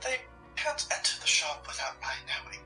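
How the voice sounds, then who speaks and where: Blunt, secretive shopkeeper, female performer, horror roleplay: hard bright edge, curt dry delivery, roomy sound, music underneath. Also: low voice, big pitch swings, moderate pace, exaggerated voice. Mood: guarded. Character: blunt, guarded, pragmatic occult shopkeeper with secret plans. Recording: roomy, distant mic with music.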